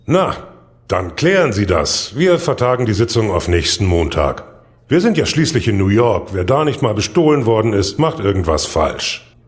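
A man's voice: on the low side, very animated, moderate pace. A man playing a wry, authoritative court judge in an audio drama, with a dry, commanding manner. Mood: dry, stern.

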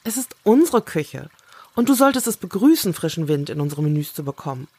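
Self-assured woman cook played by an actress, assertive, matter-of-fact, husky, dry close sound. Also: sits low, very animated, a little fast, darkish tone, no echo, full character voice. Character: self-assured female cook who holds her ground. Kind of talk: German audio drama acting.

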